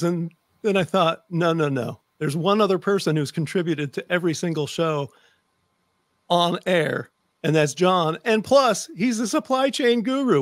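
A man's voice: high voice, fairly bright tone, no echo. A middle-aged American man hosting a talk show in a chatty way, close on a desk microphone. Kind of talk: chatty show introduction and interview. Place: home office with bookshelves, indoors.

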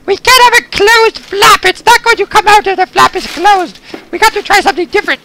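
A performer voicing an excitable, hungry, impatient pet dog in a comic skit, pleading in frantic, repeated exclamations. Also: very high-pitched, fairly quick, full character voice. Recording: noisy recording with loud clicks.

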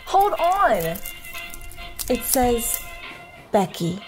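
A woman's voice: fairly high pitch, very animated, drawn-out words, soft background music, exaggerated voice. A woman playing a tender, devoted young bride in an audio drama. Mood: nervous, happy.

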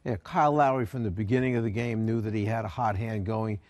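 A man in a TV studio breaking down game highlights as an analyst, animated and teasing. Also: fast, no echo. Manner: animated, teasing, exclaims at replays.

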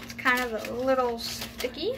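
A woman's voice: a little high, fairly even intonation, moderate pace, gravelly. A teenage girl filming a casual cooking demonstration in a small home kitchen on a phone, chatty and hesitant, often trailing off mid-sentence. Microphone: phone camera on the counter. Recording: home video with background noise.

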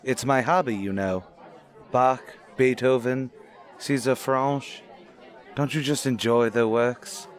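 An actor plays a rich, cultured widower in a period radio play. He speaks in a mid-pitched voice, formal and courtly, with faint crowd murmur behind him. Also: fairly even intonation, slow pace, natural voice. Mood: rapturous, genial. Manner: formal, suave, polite. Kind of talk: old-time radio drama acting.

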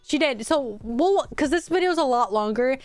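A young woman streamer talks into a boom-arm mic at home, her higher voice smooth and crisp despite getting tired from a long stream. She rambles chattily, restarting sentences as she goes, and the sound is dry and close. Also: lively intonation, normal speed, no echo, soft background music. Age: young adult. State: voice going hoarse from a long stream. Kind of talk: casual stream commentary. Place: home streaming room, indoors. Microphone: studio mic on a boom arm.

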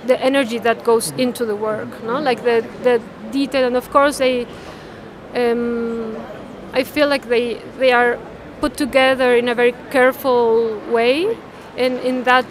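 A woman, talking haltingly and thoughtfully about her artwork, often tagging phrases with "no?". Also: fairly high pitch, steady tone, a little hiss or hum. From Mexico. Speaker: artist. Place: large indoor art fair hall. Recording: crowd chatter in a busy hall.

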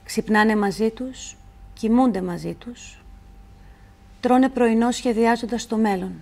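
A woman reciting literary poetry in a measured, deliberate way, with pauses between phrases. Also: fairly high pitch, creaky, a little mellow. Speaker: poetry reader.

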